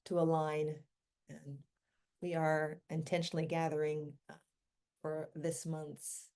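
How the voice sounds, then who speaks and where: Low, mellow woman guiding a meditation. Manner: gentle, unhurried, with long pauses between short phrases. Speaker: meditation guide and physician. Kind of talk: guided meditation.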